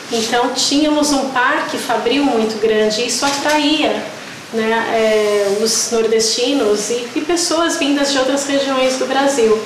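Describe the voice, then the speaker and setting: A high-voiced woman explains local history at a measured pace, often closing her points with a quick tag question. Her voice rings around a very echoey room, and steady background noise is clearly heard. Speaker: historian interviewee. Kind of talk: documentary interview.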